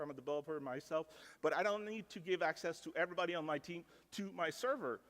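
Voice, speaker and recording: Man, French native speaker, Canadian, casual tech talk, slightly high voice, echoing ballroom. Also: a bit bright. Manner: casual, self-deprecating, filler "like". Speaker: conference speaker. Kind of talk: technical conference talk. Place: large conference ballroom. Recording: reverberant conference ballroom.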